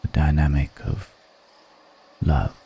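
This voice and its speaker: A man playing a brooding, melancholic undead vampire in an intimate ASMR roleplay, speaking in a measured, poetic murmur with pauses between phrases. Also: flat delivery, slight background noise, exaggerated voice. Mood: solemn, melancholic. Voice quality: very deep, dark, creaky murmur.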